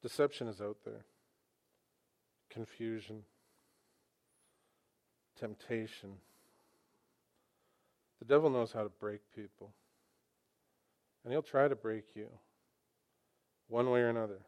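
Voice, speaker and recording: A man preaching a sermon to a congregation on a microphone, animated and conversational. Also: fairly low pitch, takes it slowly, long pauses. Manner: animated, conversational, with emphatic asides.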